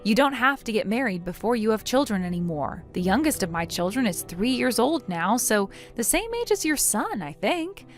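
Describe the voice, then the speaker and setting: A woman's voice: a little high, sing-song, normal speed, few pauses, faint music behind. A woman voicing a wronged bride in a melodramatic story, stern and businesslike, scolding and explaining firmly. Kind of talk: acted dialogue in a melodramatic story.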